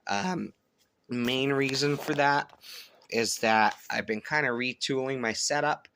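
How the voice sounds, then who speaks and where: A man giving a casual hands-on product review, thinking aloud in a halting way. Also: mid-range voice, a bit bright, no echo. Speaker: host of a shortwave radio review channel. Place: indoors at home, upstairs room.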